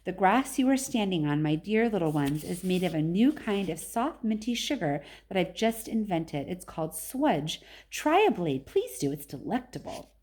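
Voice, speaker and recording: A woman's voice: fairly low pitch, big pitch swings, moderate pace, few pauses, darkish tone. A woman reading a storybook voices an eccentric, boastful male factory owner, exclaiming emphatically and repeating phrases. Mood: delighted, eager.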